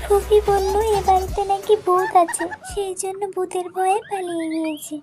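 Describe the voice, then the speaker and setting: A woman's voice: very high-pitched, fairly even intonation, moderate pace, music in the background. A woman voicing an eager, childlike young boy in a family cartoon. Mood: matter-of-fact. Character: young boy, eager and complicit with his mother. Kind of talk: cartoon voice acting.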